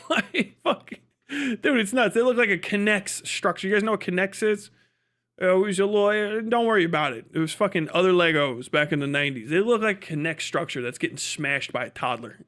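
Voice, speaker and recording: An American man with a notably high voice talks like a livestream host reacting off the cuff. His delivery is crude and mocking, full of casual swearing, and it wanders as he goes. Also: expressive, normal speed, fairly bright tone. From United States. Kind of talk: casual profane commentary.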